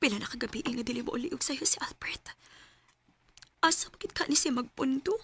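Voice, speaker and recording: A woman's voice: high-pitched, fairly quick, creaky, background noise. An actress playing a long-suffering, pleading wife and mother in a Visayan radio drama, with a Cebuano Bisaya accent. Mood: anxious, brooding.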